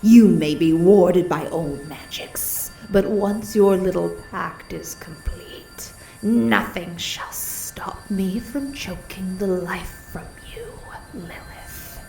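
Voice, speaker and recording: A woman voicing a slow, echoing, vengeful monster gatekeeper. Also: on the low side, vocal fry, warm tone, faint music behind. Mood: menacing, wrathful. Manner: slow, threatening, drops into hissed whispers. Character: menacing female monster gatekeeper Medusa, vengeful and sadistic. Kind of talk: fantasy audio roleplay acting.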